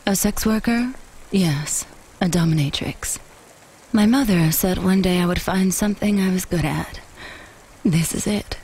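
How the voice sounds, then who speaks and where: A woman playing a brisk, self-assured dominatrix in a video game, formal, clipped and commanding. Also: on the low side, darkish tone, husky, poor audio. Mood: brisk, proud.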